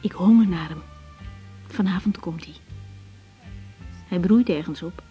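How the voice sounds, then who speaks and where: An actress playing a young woman doctor in a naturalistic radio drama, frank and reflective, speaking in a measured, intimate, sometimes hesitant way. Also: fairly low pitch, dull audio, faint music behind, close to an everyday voice. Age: young adult. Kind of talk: naturalistic Dutch radio drama acting with diary monologue.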